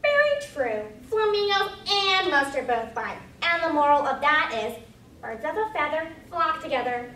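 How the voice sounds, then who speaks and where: Stage role: moralizing old Duchess, piercingly high, singsong and affectionate, distant in a noisy, echoing room. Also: female voice, sing-song, a bit bright. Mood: preachy, pleased. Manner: drawn-out, singsong, gushing delivery. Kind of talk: readers theater performance.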